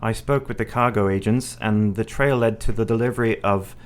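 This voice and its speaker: A man plays a devoted young Victorian gentleman in a radio drama. He has a fairly deep voice and speaks earnestly and with resolve, in measured, formal period language. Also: natural voice. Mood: matter-of-fact. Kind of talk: radio play acting.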